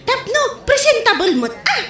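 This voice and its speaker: A woman playing a touchy, excitable village mother in a comedy audio drama, exclamatory and melodramatic, with a northern Philippine accent. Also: extremely high pitch, measured pace, heavily acted. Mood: flustered. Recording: roomy, echoey voice recording.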